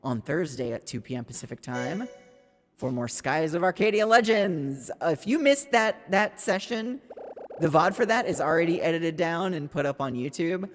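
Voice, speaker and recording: A slightly raspy woman streams a story game, reading its lines and chatting loosely with swears and trailing thoughts. Her pitch swings widely, and game music plays clearly behind her voice. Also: lively intonation, moderate pace. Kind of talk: casual livestream chat and reading game text aloud. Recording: music playing in the background.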